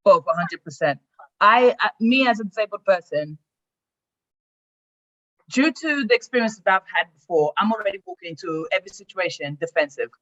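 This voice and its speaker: A man's voice: sits high, expressive, normal speed, a bit bright. A man speaking on a panel discussion in an emphatic, earnest way. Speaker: panel guest. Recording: dull lo-fi audio.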